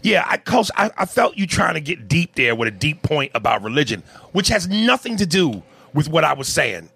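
A man's voice: a little high, very animated, moderate pace, creaky, slightly rough, no room sound. A man with a Canadian accent, co-hosting a casual talk show, reading listener comments and answering with blunt, profane rants. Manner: blunt and profane, rising into loud emphatic rants. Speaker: podcast co-host.

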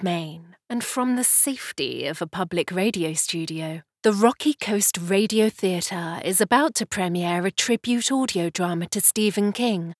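A woman giving a scripted, atmospheric radio drama introduction in a measured, ominous storytelling manner. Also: on the low side, dry recording, clean studio sound. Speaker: announcer, narrator. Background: music.

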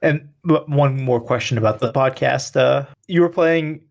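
A man with a medium-pitched voice acts as podcast interviewer. He puts his questions in a relaxed conversational style, as casual chat with a guest. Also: moderate pace, gravelly.

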